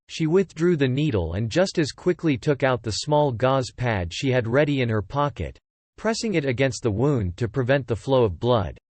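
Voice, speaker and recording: A man narrating fiction from a script as an audiobook narrator, in a measured, descriptive way. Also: medium pitch, a little mellow, no room sound.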